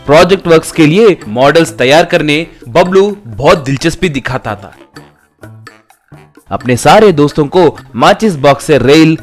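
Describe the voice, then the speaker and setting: A man reading a scripted moral tale as a storytelling narrator. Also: on the high side.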